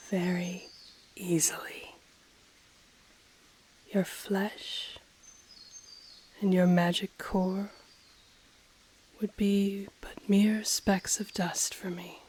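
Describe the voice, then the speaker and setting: Woman voicing a slow, breathy, possessive ancient dragon. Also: medium pitch, a little mellow. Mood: amused, predatory. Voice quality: breathy, often dropping into a whisper. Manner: slow, teasing, formal archaic phrasing. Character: ancient possessive female dragon, haughty yet tender, seductive. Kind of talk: intimate audio roleplay, ASMR-like monologue.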